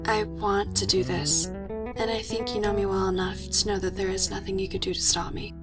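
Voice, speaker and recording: A woman voicing a determined, sharp-minded teenage girl with a frank, firm manner in an audiobook story. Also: mid-range voice, level tone, brisk, loud music behind the voice, lightly acted. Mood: resolute. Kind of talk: audiobook character dialogue.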